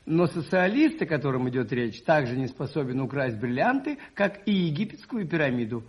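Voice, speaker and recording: Gentle shrewd priest, higher male voice, lively intonation, thoughtful, radio drama. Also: fairly quick, husky. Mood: calmly reasoning. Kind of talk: 1980s Soviet radio play acting.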